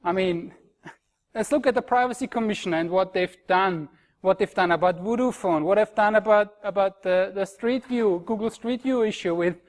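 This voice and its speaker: A man's voice: high voice, normal speed. A middle-aged man from Austria with an Austrian accent, giving a frank, wry conference talk. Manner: frank, wry, self-deprecating. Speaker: conference speaker.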